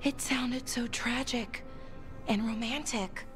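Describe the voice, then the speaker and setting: An actress playing a scholarly young elf in a video game, explaining eagerly with halting afterthoughts. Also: female voice, on the high side, soft background music. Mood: wistful. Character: earnest, scholarly young female elf lore enthusiast. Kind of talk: game party dialogue.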